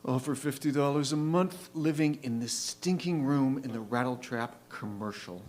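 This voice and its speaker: A man playing a bitter, worn-out, grey-haired store clerk in a dramatic poetry monologue, halting and weary. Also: mid-range voice, a little mellow, natural voice. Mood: weary, resentful.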